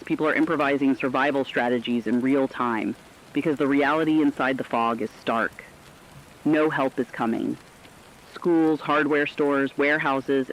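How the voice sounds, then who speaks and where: A man playing a serious radio news anchor, reading a scripted emergency bulletin with deliberate, emphatic phrasing. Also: mid-range voice, a little hiss or hum, natural voice, poor audio. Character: stern, urgent radio news anchor reporting an apocalypse. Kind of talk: scripted emergency broadcast narration.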